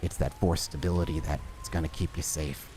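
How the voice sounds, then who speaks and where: A man voicing a plain, brooding, remorseful man in a hushed, halting, weary voice for a tabletop horror game. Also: a bass voice, steady tone, a little hiss or hum, voice pushed down. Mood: pained, remorseful. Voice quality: hushed, sighing.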